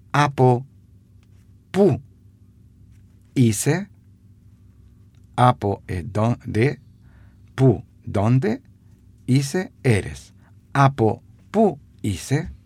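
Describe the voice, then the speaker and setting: A man giving a radio language lesson, repeating phrases slowly with hesitant fillers. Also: mid-range voice, darkish tone, breathy edge, no room sound. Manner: slow, repeats phrases for dictation; stumbling fillers.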